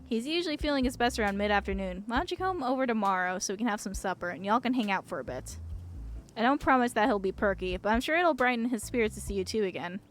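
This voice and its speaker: A woman's voice: on the high side, lively intonation, quick pace, close to an everyday voice. A woman playing an irritable, guarded mother and doctor from a visual novel, curt and weary. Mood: reluctant, softening.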